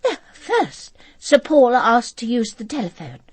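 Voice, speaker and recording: Radio drama: earnest, polite female witness, very husky voice, lively intonation, slightly hesitant delivery. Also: fairly high pitch, a little slow, exaggerated voice. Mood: careful, recollecting. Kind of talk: naturalistic radio drama acting.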